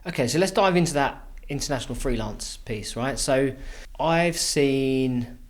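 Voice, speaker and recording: A man's voice: mid-range voice, a little slow. A man hosting a podcast and asking questions in a casual, conversational way. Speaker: podcast host, interviewer.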